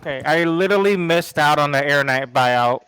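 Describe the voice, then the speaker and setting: Higher-voiced man, blunt and sweary. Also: moderate pace, a bit bright, clear, resonant tone, low-quality recording. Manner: blunt, sweary, repeats himself. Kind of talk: casual card game chat. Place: online group voice call.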